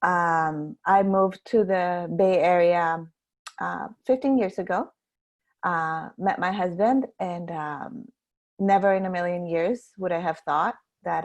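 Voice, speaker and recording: A woman from Guatemala speaks on a video call, her voice a little low for a woman. She talks in a halting way and fills her pauses with many ums and restarts. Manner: hesitant, many fillers and restarts. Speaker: coffee importer guest. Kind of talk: webinar interview.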